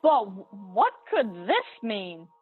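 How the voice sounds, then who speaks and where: Woman acting an anxious high-voiced angel, phone-like sound. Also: huge pitch swings, takes it slowly, full character voice. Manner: earnest, fretful, pleading. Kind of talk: radio play acting. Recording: thin phone-like video call sound, music behind.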